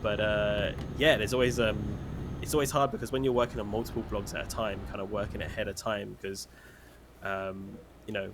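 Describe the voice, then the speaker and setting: Chatty lower-voiced male stream host. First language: native English speaker. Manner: chatty, lots of fillers, upbeat questions. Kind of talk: casual streamed chat. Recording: steady background noise.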